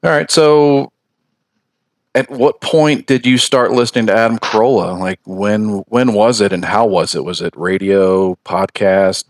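Low-voiced man asking casual questions. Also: fairly even intonation, unhurried, no room sound, studio quality. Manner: friendly, curious questioning. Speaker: podcast host. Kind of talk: casual interview chat.

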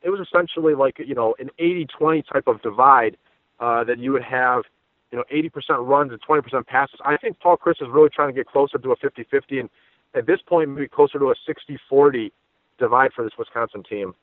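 A man's voice: medium pitch, fast, no room sound. A man giving conversational sports analysis as a guest, talkative and repeating words as his thoughts form. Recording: tinny phone-line audio.

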